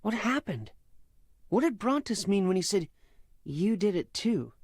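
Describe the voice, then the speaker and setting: An actor playing a supportive, inquisitive younger Spartan brother, boyish and loyal, in a dramatic video game. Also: male voice, high-pitched, big pitch swings, measured pace, slightly rough, dry recording, studio quality, exaggerated voice. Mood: concerned.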